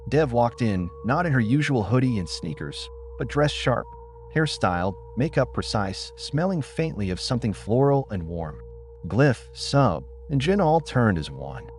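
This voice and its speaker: A man's voice: mid-range voice, big pitch swings, measured pace, a little hiss or hum. A man narrating a scripted first-person story in a dramatized audiobook manner.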